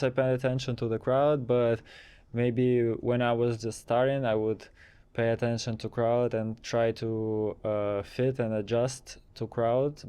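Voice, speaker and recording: Man with a very clear, smooth voice. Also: medium pitch, dark tone. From Kazakhstan. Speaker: interviewed DJ and techno producer. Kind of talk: casual interview answers.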